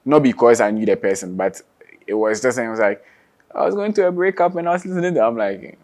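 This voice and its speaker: A man's voice: mid-range voice, expressive, quick pace, darkish tone. A young man, a singer and guitarist, chatting casually as an interview guest, laughing often and restarting his sentences.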